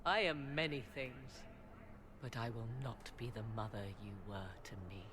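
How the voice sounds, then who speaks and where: A proud sorceress stands her ground as a protective mother in a fantasy game. She is haughty and emphatic, with very lively intonation and noticeable ambience around the voice. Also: rumbling low, moderate pace, background noise. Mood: fierce, resolute. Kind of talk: dramatic video game acting.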